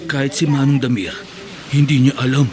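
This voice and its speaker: An actor with a Filipino accent playing a secretive, devout militant in a radio drama, guarded and insistent. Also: male voice, mid-range voice, fairly even intonation, brisk, a crowd in the background, close to an everyday voice. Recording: clear background noise.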